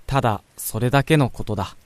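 A man's voice: medium pitch, fairly quick, no room sound, lightly acted. Man acting a pensive young man, dry close sound. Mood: reflective, tender. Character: pensive young man quietly reflecting on a childhood friend. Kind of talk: radio drama monologue and narration.

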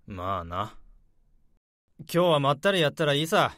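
Smug office colleague, breezy, patronizing, fairly high voice, dry radio drama sound. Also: male voice, very animated, moderate pace, fairly bright tone, no room sound. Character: smug well-dressed male office colleague.

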